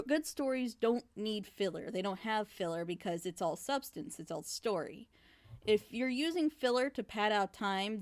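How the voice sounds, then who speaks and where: A woman hosting a casual group critique, giving her opinions and explaining them at length. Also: mid-range voice. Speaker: host of a panel commentating on audio dramas. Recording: home mic with clear background noise.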